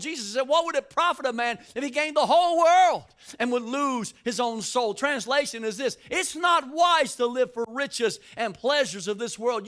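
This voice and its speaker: An older man preaches a sermon in a strikingly high voice. He builds his points by repeating words, then rises into forceful, shouted lines. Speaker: pastor.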